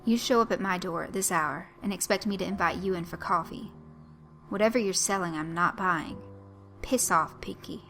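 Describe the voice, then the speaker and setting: A woman doing a gruff angry man. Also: fairly low pitch, normal speed, fairly bright tone, faint music behind. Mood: hostile. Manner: gruff, snarling.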